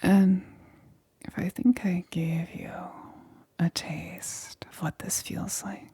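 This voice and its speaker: A woman voicing an infected female lab assistant in an ASMR roleplay, eerily blissful and coaxing, in a breathy, intimate whisper. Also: on the low side, level tone, slow pace, warm tone, no room sound, heavily acted. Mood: seductive, menacing.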